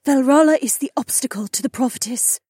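A woman's voice: high-pitched, fairly quick, warm tone, slightly rough, no echo, studio quality. Woman acting a commanding ibex mage woman. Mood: firm, instructing. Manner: instructing, authoritative. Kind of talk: audiobook character acting.

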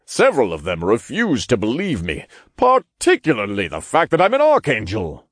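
A man's voice: medium pitch, wildly swooping pitch, normal speed, fairly bright tone, no room sound, clean studio sound. A man voicing a weary, apologetic male archangel with a sighing, fussy way of complaining, in a fantasy audiobook.

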